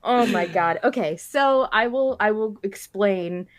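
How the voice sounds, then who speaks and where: A woman co-hosts a fan podcast in a mid-pitched voice. Her chat is casual and playful, full of bro slang and the odd swear word. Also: a little slow, a crowd in the background. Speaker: podcast host.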